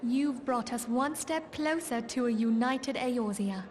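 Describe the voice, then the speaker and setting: An actress playing a composed, gracious diplomat in a fantasy video game, with a poised and formal delivery. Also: female voice, a little high, a little slow, darkish tone, lightly acted. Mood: calm. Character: composed, gracious female diplomat and leader's adviser. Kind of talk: measured fantasy game voice acting.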